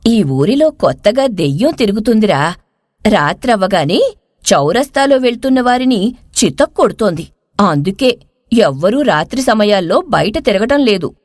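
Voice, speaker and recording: Casting: woman as exasperated village mother, worried and scolding, very animated intonation, close dry sound. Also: medium pitch, moderate pace, no echo, pitched below the performer's own voice. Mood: insistent warning.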